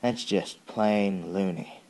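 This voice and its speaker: A man performs a young Southern soldier telling his story in an audio drama. The voice is low and mellow, and the words come slowly and haltingly, in plain folksy speech weighted with grief and bitterness. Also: poor audio. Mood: bitter bewilderment. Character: young Southern soldier recounting a childhood atrocity, bitter and grieving. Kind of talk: dramatic first-person monologue, audio drama.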